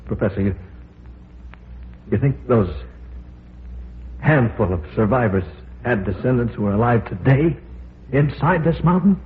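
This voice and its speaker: A man's voice: mid-range voice, lively intonation, unhurried, long pauses, close to an everyday voice. A man playing an anxious cave explorer in a vintage radio horror drama, tense and dramatic in delivery. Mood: anxious, incredulous. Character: anxious male cave explorer, the professor's companion, narrating. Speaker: radio drama actor and first-person narrator. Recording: tinny, noisy old radio recording.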